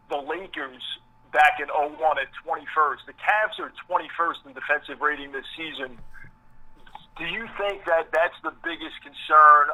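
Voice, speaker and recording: A man with a fairly high, very bright voice asks a press question down a phone line. He is polite and measured, a sports reporter on a conference call.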